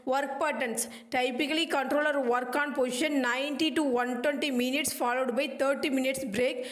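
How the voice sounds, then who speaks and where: A woman reading a scripted lesson aloud as a lecturer, in a halting, choppy, phrase-by-phrase way. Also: high-pitched. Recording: echoey room.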